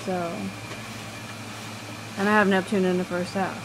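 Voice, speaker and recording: A woman with a quiet voice talking casually about astrology, rambling and halting with many fillers. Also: fairly low pitch, flat delivery, noisy background. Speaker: astrology vlogger. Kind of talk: casual personal talk.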